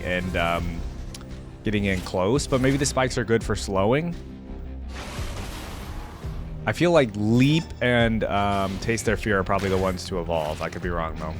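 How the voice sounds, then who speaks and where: Casual man reacting over loud music. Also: on the low side, moderate pace. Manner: informal, musing, thinking aloud. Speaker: reaction video host. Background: game music.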